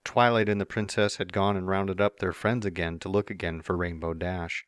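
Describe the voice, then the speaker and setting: A man's voice: sits low, steady tone, normal speed, clean tone, no room sound, studio quality. A man reading a fan story aloud as narrator, with playful, smug first-person asides.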